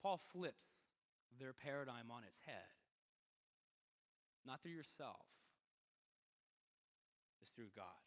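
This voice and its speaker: A man's voice: mid-range voice, sing-song, slow pace, pauses often. A man preaching a sermon as a pastor, talking in a conversational but emphatic way with rhetorical questions. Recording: reverberant hall.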